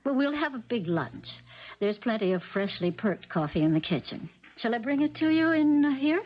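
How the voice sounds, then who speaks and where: An American woman playing an uneasy, solicitous stepmother in a 1970s radio drama, speaking gently and hesitantly. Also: a little high, sing-song, moderate pace. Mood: polite, attentive. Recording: muffled 1970s radio recording.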